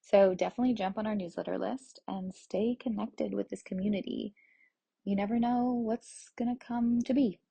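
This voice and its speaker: A woman hosting a podcast, reading a calm and earnest announcement about the show. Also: medium pitch, normal speed, gravelly, breathy edge, no room sound. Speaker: podcast host.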